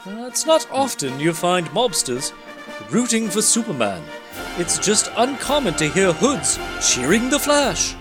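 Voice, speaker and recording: A man's voice: sits high, very animated, a little slow, over music, voice pushed up. Man putting on a theatrical English comic-book narrator. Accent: put-on English. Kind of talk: comic dramatic reading.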